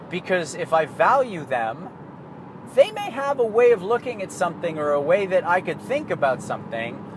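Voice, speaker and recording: A Canadian man talking in a casual, rambling monologue, swearing freely and laughing at himself. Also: fairly high pitch. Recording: street and car noise behind.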